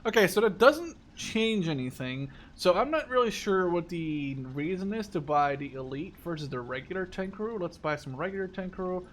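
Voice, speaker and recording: A man's voice: high-pitched, animated, normal speed, few pauses, a little hiss or hum. A man in his twenties from the Netherlands giving casual, unscripted game commentary, rambling and thinking aloud. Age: 20s. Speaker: gaming YouTuber.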